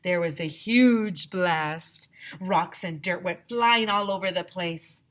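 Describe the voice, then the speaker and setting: A woman teacher reading a children's story aloud, narrating in an expressive, emphatic way. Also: fairly low pitch, takes it slowly.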